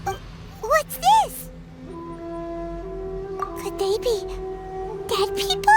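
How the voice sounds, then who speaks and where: A woman's voice: very high voice, big pitch swings, drawn-out words, exaggerated voice. A woman voicing a tiny, curious, easily baffled fairy companion in an anime game. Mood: puzzled, curious. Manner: speaks of itself in third person, exclamatory. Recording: game audio with loud music behind.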